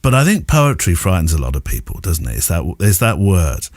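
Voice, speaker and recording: Lowish voiced guy presenting a podcast, chatty and enthusiastic, kinda rambles and pokes fun at himself. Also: sing-song, brisk, darkish tone, no echo, studio quality. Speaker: podcast host.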